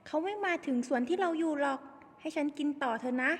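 A woman's voice: high-pitched, quick pace, gravelly, in a large hall, voice pushed up. A woman doing a greedy wheedling monkey. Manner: wheedling, chomping noises. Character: greedy male monkey, pleading and carefree.

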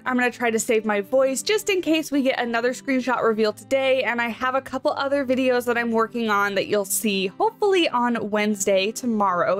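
Young woman, voice a bit rough from a cold, highish and chatty, soft music behind. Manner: chatty and excited, reads animal facts. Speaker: game channel host. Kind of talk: casual screenshot commentary.

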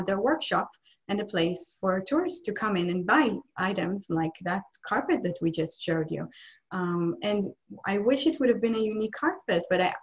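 A woman in her thirties, a native Hebrew speaker, speaking as a museum curator on a video call, with frequent pauses and restarts. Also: mid-range voice. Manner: hesitant, many restarts. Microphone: laptop mic on video call.

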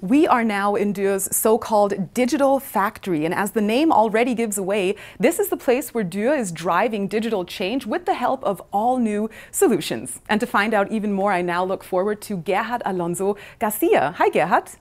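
A woman's voice: mid-range voice, expressive, moderate pace, few pauses. A woman in her thirties presenting in a polished, upbeat way, speaking to viewers and interviewing staff in an office. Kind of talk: scripted corporate presenting. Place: bright modern office. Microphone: lapel mic.

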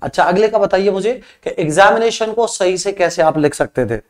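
A man with a Rajasthani Hindi accent teaches an English exam class in Hindi. His voice sits fairly high. He quizzes the students and then gives the answers himself, drawing English words out slowly as he writes on the board. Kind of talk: exam practice lesson. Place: classroom studio with a whiteboard screen.

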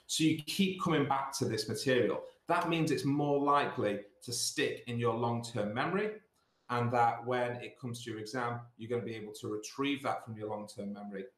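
A man's voice: mid-range voice, moderate pace. A middle-aged British man speaking as a school teacher, giving instructive advice and repeating points for emphasis, on a video call. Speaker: school sixth form director. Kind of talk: assembly talk giving exam revision advice. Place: small indoor office. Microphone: computer mic on a video call. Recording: roomy, echoey video call.

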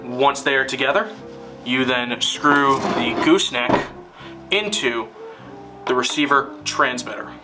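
A man narrating a scripted instructional film in a deliberate, formal, step-by-step manner. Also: medium pitch, recorded from a distance, over loud music, poor audio.

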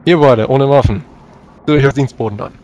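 A man's voice: mid-range voice, a little fast, a little mellow. A man taking part in a tabletop role-playing game as a player, talking casually about what his character does. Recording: faint background noise, room ambience.

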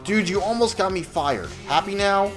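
Troubled young man voiced by a male actor, exasperated and confessional, very expressive pitch, dark comedy cartoon. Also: normal speed, soft background music, natural voice. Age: young adult. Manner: wry, hesitant, confessional. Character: anxious, exasperated young man with an inner demon. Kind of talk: animated dark comedy narration and dialogue.

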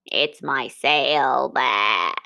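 A bleating storybook goat stranded on a boat, gruff and much lower than a normal speaking voice, as a woman puts him on. He speaks slowly and draws out his bleats. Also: pushed and bright, no room sound, heavily acted. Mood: glum. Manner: bleating, low gruff put-on voice. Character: bleating goat sailor who lost his sail.

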